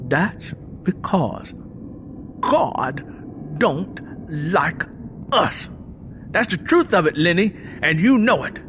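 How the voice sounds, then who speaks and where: A man's voice: sits high, expressive, slow, long pauses, noisy background, voice pushed up. Man acting out a bitter, hot-tempered farmer. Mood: angry, bitter. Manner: combative, folksy rural speech. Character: proud, bitter, hot-tempered young farmer who drinks. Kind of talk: dramatised audiobook dialogue.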